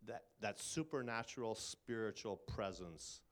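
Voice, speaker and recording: A man's voice: fairly low pitch, animated, unhurried, fairly bright tone. A man co-hosting a casual faith talk, speaking thoughtfully and searching for his words.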